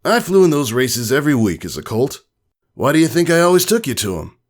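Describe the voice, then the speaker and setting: A man voicing a fatherly, easygoing male pegasus in a story reading, soft and encouraging. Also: on the high side, sing-song, brisk, pitched above the performer's own voice.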